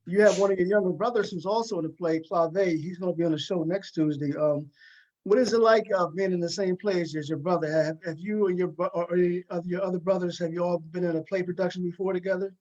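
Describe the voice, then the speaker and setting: A man's voice: sits high, fairly even intonation, quick pace, a little mellow, muffled recording. A man hosting a talk show, interviewing guests in a casual, informal way and often repeating his phrases.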